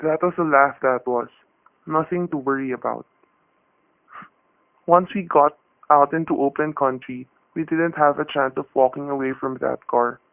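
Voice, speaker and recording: A man playing a quick-thinking hostage husband in a radio thriller, talking and narrating in a tense, hard-boiled way. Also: on the high side, vocal fry. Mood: grim, tense. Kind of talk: radio thriller acting and first-person narration. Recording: dull, noisy radio-play recording.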